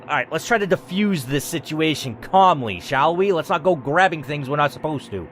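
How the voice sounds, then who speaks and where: A man's voice: fairly high pitch, expressive, moderate pace, fairly bright tone, soft background music. A man hosting a gaming video, narrating a text adventure theatrically, with casual, blunt, sweary asides.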